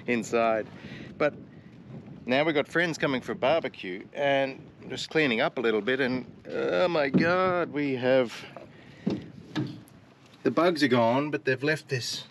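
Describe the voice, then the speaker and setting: A middle-aged man rambles through a casual vlog aboard his sailboat, breaking into exclamations as he goes. His pitch sits in an ordinary middle range, and a faint hiss runs under the camera-mic recording. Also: a bit bright. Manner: chatty, rambling, exclaims often. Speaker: sailing vlogger. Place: on a sailboat at night, then in the cabin.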